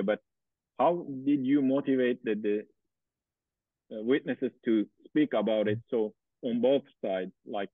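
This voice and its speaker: A man's voice: medium pitch, no room sound. Male academic interviewer, hesitant, tinny phone-like audio, dry sound. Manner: hesitant, many fillers. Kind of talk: asking a long question.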